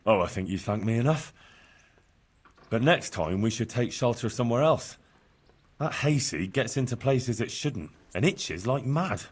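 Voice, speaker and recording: An actor playing an earnest, cheeky young medieval village lad in love, bashful and plain-spoken, in a video game. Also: male voice, medium pitch, expressive, moderate pace, husky. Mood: playful. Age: young adult. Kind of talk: naturalistic video game dialogue acting.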